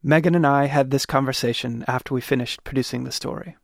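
A man's voice: medium pitch, quick pace, gravelly, no echo, clean studio sound. A man hosting a podcast in a casual, conversational interview style. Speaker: podcast host.

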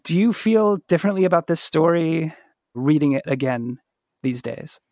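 A man's voice: a little high, expressive, normal speed, darkish tone, no echo, studio quality. A man hosting a thoughtful conversational podcast interview, reflecting tentatively and rephrasing as he goes.

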